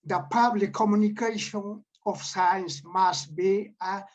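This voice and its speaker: Slow, emphatic Peruvian-accented man. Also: high-pitched, steady tone. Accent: Peruvian Spanish. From Peru. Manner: deliberate, emphatic, reads out declarations. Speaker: conference presenter. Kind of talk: formal prepared presentation.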